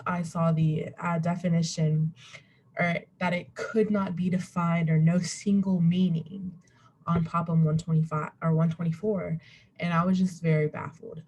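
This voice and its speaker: Mellow-voiced young woman presenting informally. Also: on the low side. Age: young adult. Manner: rambling, self-interrupting, chuckles at herself. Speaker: student presenter. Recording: webcam recording with faint background noise.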